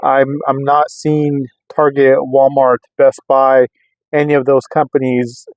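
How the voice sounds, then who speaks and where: A man's voice: medium pitch, level tone, measured pace, a little mellow, no echo, poor audio. A middle-aged man talking casually to camera as he tests gear, rambling and circling back to restate his points. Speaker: radio enthusiast vlogger. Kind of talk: casual rambling product test talk to camera. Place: in a vehicle on a road test.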